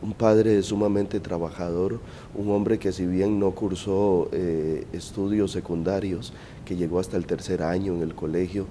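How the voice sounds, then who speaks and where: A man's voice: deep voice, fairly even intonation, measured pace, mellow, slight background noise. A Costa Rican man, a lawyer, telling his family story in an interview with hesitant fillers and repeated words. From Costa Rica. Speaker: guest, lawyer.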